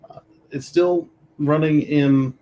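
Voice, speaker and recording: A man's voice: on the high side, steady tone, takes it slowly, warm tone, low-quality recording. A man co-hosting a discussion podcast, offering casual, conversational commentary. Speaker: podcast co-host. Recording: faint background noise.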